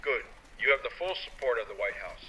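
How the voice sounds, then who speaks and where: An actor playing an authoritative, calculating male president, speaking in a measured, commanding way. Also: mid-range voice, animated, bright, forceful tone, breathy edge, poor audio. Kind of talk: dramatic audio drama acting.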